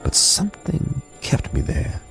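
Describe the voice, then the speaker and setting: An actor playing a world-weary code breaker who narrates and talks with a dry, wry manner in a radio drama. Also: male voice, a little high, huge pitch swings, measured pace, creaky, fairly bright tone, faint music behind, heavily acted, rough recording.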